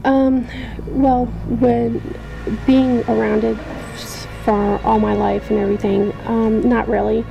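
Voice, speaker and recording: A woman's voice: medium pitch, creaky, low-quality recording. A young adult woman from Poland, an army veteran, answering interview questions hesitantly with many ums and restarts.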